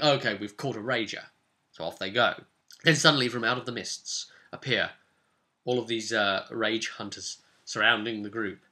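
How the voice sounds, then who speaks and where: A man's voice: medium pitch, sharp tone. A man recounting a tabletop role-playing session as its game master, in an informal storytelling way with brief acted-out quotes. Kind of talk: informal spoken recap, storytelling.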